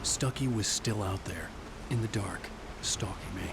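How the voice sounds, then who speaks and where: An actor playing a troubled novelist, narrating his own thriller ordeal in a brooding, measured way. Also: lowish voice, very noisy, lightly acted. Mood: tense. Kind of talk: scripted first-person game narration.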